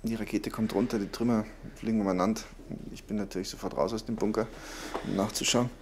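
Actor as gravelly, wry wounded technician. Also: male voice, lowish voice, fairly even intonation, fast talker, a little hiss or hum, lightly acted. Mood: matter-of-fact recounting. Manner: offhand, wry, colloquial. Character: laconic wounded male rocket technician in hospital, wry and suspicious. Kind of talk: naturalistic radio drama.

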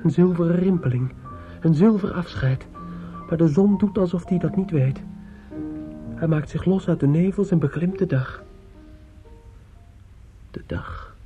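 Young male student, played by an actor, lovesick and brooding; fairly high voice, earnest radio-drama delivery over prominent music. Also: unhurried, long pauses, mellow, highs cut off, close to an everyday voice. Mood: anguished longing. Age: young adult. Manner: earnest, pleading, poetic. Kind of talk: Dutch radio drama acting. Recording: radio play with music behind.

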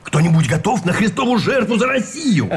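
An actor playing a haughty, cynical army colonel in a radio play, speaking in an emphatic, declaiming manner. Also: male voice, sits high, sing-song, fairly quick. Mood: exasperated. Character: haughty, cynical male army colonel pleading for patriotic sacrifice. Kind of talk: Russian radio play acting.

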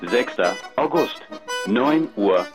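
Slow date announcer over music. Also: male voice, medium pitch, animated. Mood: impersonal. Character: measured answering machine voice announcing dates and times. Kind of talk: German radio drama announcement. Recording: music under the voice.

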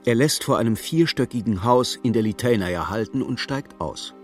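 A man's voice: medium pitch, animated, moderate pace. A man narrating a radio play in scripted, literary present-tense prose. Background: music.

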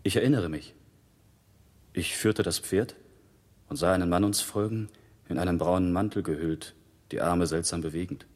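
Grim tired gravedigger, really deep voice, measured, big reverb, old radio play. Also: male voice, a little mellow. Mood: sombre recollection. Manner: sombre, measured storytelling. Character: weary gravedigger of plague-time London, grimly recalling. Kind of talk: 1960s German radio play acting. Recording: long hollow reverb.

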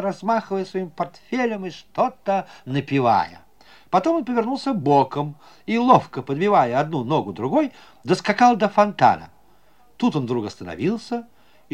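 Animated male storyteller, lively swooping pitch. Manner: animated, playful narration. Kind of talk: expressive storytelling for children.

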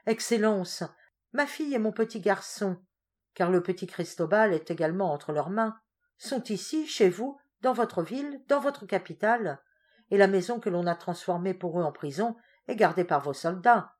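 A woman's voice: fairly low pitch, expressive, moderate pace, darkish tone. A woman voicing an aristocratic older marquis in a story, formal and pleading.

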